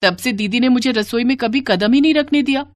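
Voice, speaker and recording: A woman's voice: fairly high pitch, fairly quick, no echo, studio quality, pitched above the performer's own voice, close to an everyday voice. A woman voicing a timid, humble young bride in a moral story, earnest and fretful in manner. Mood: astonished, fretful.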